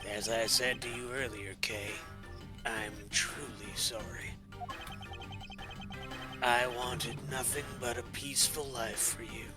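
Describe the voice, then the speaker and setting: A man's voice: mid-range voice, fairly even intonation, takes it slowly, pauses often, close to an everyday voice. Man voicing a weary, solemn veteran detective. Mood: solemn, apologetic. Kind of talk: hobby fan dub of a video game. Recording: music clearly behind the voice.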